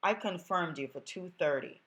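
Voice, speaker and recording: A Jamaican woman acting out a sassy, outspoken young secretary in a storybook reading. She teases and jabs with attitude, her voice lifted above the reader's own low tone. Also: expressive, normal speed, fairly bright tone, low-quality recording. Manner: sassy, teasing.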